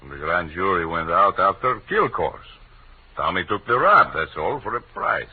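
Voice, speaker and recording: An actor playing a shady, defensive gambling racketeer with clipped broken grammar, in an old radio crime drama. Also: male voice, low-pitched, big pitch swings, normal speed, husky, heavily acted. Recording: muffled, tinny old radio broadcast.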